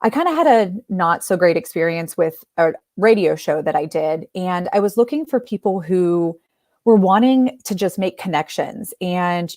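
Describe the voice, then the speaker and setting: A woman's voice: fairly low pitch, darkish tone, no echo, studio quality. A woman from Ohio, a guest on a talk show, chatting in a friendly way and laughing often. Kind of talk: casual chat.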